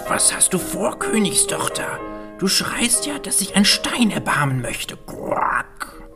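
A woman voicing a sly male frog in a fairy tale reading, speaking in a deep croaky whisper and croaking between phrases. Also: big pitch swings, slow, over music. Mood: coaxing. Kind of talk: storybook reading.

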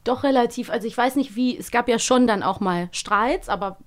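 A woman's voice: fairly high pitch, fairly quick. A woman hosting a podcast, interviewing in a casual, conversational way. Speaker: podcast host. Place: podcast studio.

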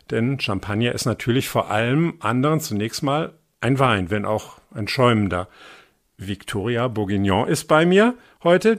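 A man hosting a wine podcast, chatting in a friendly and curious way with his guest. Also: mid-range voice. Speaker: podcast host. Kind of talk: podcast interview, relaxed chat.

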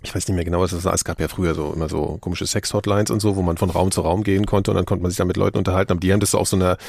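A man's voice: low-pitched, steady tone, racing, gravelly, a little mellow, no echo, studio quality. A man chatting informally and anecdotally as a podcast panelist. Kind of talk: casual podcast discussion.

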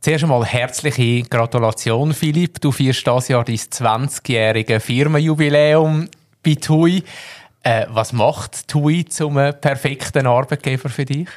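A man's voice: mid-range voice, measured pace. A man hosting a travel podcast, asking friendly, long questions. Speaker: podcast host, interviewer. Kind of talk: interview questions.

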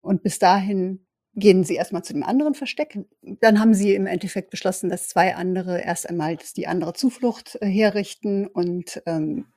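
A woman playing an observant, wary vampire scout in an audio drama, stretching words for deliberate emphasis. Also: medium pitch, warm tone, no echo, studio quality, close to an everyday voice. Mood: reporting. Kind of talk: improvised tabletop role-play audio drama.

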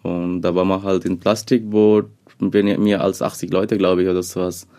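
Young man from Bangladesh, dark voice. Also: sits low, level tone. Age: young adult. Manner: halting, many fillers and restarts. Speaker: interviewee. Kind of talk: interview answers.

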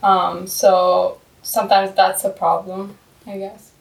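A woman's voice: medium pitch, monotone, takes it slowly, creaky. Chatty woman in an echoey room. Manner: chatty, rambling, heavy filler use. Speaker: podcast co-host. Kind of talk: casual discussion. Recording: echoey room, far from the mic.

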